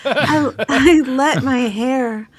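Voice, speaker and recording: Woman, story reader; slow, husky, melodramatic delivery. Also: high-pitched. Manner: breathy, drawn-out, melodramatic reading. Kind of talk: dramatic reading of a novel aloud.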